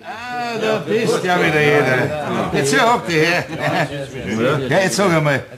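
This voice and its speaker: An actor playing a jovial, teasing tavern regular with a Bavarian accent in a radio play. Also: male voice, high voice, animated, measured pace. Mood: curious. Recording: loud tavern chatter and laughter. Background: crowd in a tavern.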